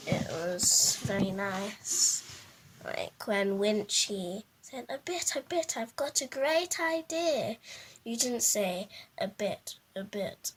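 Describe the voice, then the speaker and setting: A female speaker giving a casual testimonial in simple words, with a halting delivery. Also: mid-range voice, slight background noise. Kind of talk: spoken testimonial, casual interview answers.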